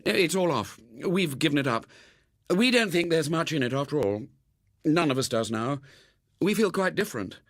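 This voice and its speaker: A man's voice: on the high side, animated, moderate pace, fairly bright tone, no room sound, studio quality, voice pushed up. A man voicing an earnest, flustered, self-righteous young British man who stammers and pleads, in a dramatised audiobook.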